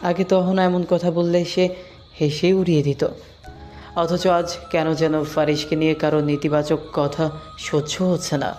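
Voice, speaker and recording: A narrator with a flat, even delivery and a mid-pitched voice reads a scripted romance story. Music runs underneath the voice. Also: moderate pace.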